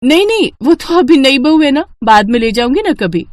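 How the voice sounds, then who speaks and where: Woman acting a young daughter-in-law, raised pitch, eager, deferential, slyly dutiful, cartoon story character. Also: sing-song, normal speed, dry recording. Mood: evasive, polite. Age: young adult.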